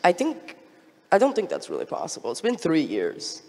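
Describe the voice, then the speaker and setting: A woman's voice: fairly low pitch, huge pitch swings, moderate pace, vocal fry, mellow. A woman in her thirties from Portugal, co-hosting a conference on stage with a handheld mic, trading sarcastic jokes. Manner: sarcastic, playful banter. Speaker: conference co-host. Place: large conference hall stage. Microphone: handheld microphone. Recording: long hall reverb.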